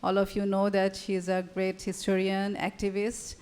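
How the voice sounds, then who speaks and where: A woman with a Bangladeshi accent giving an unscripted public talk, hesitant and formally courteous, pausing between phrases. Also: mid-range voice, completely flat delivery, darkish tone, smooth. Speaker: panel speaker, photographer and activist.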